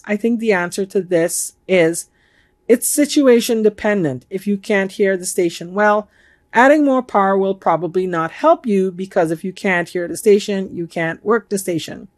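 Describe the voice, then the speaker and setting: A middle-aged woman giving a measured, instructional talk to camera in a room at home. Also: mid-range voice. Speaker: amateur radio video host. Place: home room with bookshelves, indoors.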